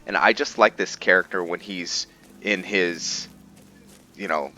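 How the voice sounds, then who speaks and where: A man giving casual commentary on a roleplay video game, thinking aloud with halting pauses. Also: sits low, pushed and bright, soft background music. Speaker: gaming video host.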